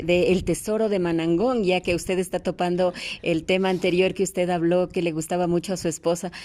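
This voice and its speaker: A woman interviews a guest. Her voice sits a little low, and she puts her questions in a conversational way. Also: a little mellow.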